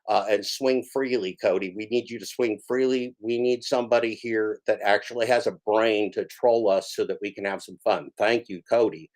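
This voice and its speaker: A man's voice: mid-range voice, a little mellow. A man hosting a livestream, rambling in a folksy, mock-grandiose way, with lots of filler "uh"s and phrases he repeats for comic effect. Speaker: livestream host.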